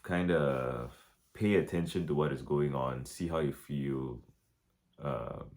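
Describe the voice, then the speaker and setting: Man with a very deep voice, rambling. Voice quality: very deep, relaxed low voice. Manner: rambling, many restarts and fillers. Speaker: vlogger. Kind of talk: casual reflective monologue.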